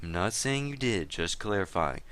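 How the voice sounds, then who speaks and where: A man's voice: low-pitched, sing-song, normal speed, a bit bright, clean tone. A man doing a dramatic reading as a stern, stubborn town leader, matter-of-fact and lecturing in an online-game dispute. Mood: firm, lecturing. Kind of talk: dramatic reading of a gaming chat log.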